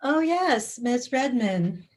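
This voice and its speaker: Actress as a slow, measured suburban housewife. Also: female voice, on the high side, lively intonation. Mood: guarded, polite. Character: polite, evasive suburban housewife of the 1960s. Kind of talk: staged reading of a satirical play. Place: video call.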